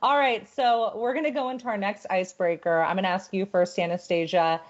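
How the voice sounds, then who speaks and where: A woman's voice: mid-range voice, fairly even intonation. Playful woman hosting over a muffled call. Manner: playful teasing, quick laughs. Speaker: host of a live dating game show. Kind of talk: upbeat game-show hosting. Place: home at her parents' house. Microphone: video call.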